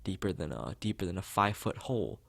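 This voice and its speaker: Man, lowish voice, very varied intonation, game streamer, reading story text aloud, casual, occasional stumbles. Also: animated, quick pace, gravelly, fairly bright tone, no room sound. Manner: stumbles over words while reading. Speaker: let's play streamer. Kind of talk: reading a visual novel aloud with character lines and casual asides.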